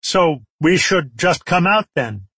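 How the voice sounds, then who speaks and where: A man's voice: sits high, expressive, takes it slowly, vocal fry, a bit bright, slightly rough, no room sound, voice pushed up, exaggerated voice. A man voicing an earnest, plain-spoken young male survivor in an audiobook. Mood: wary.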